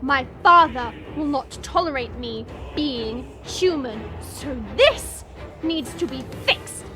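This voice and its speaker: A woman's voice: high-pitched, animated, slow pace, music in the background. A woman playing a haughty, short-tempered dragon princess in an audio drama, imperious and mocking. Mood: menacing. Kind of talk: audio roleplay drama acting.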